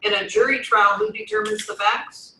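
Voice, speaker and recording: A woman's voice: medium pitch, moderate pace, sharp tone. A woman teaching a law class, lecturing and quizzing students one after another. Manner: questions students in turn. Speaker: law instructor. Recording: echoey room, distant mic, faint noise.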